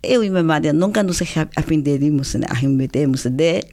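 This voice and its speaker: An older woman, a native Chinese speaker, speaks Portuguese with Chinese and faint Brazilian accent traces, talking in a halting way with many restarts and tag questions as an interviewee. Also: deep voice, dark tone. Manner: halting, with frequent restarts, "né?" tags and laughter. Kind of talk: conversational interview.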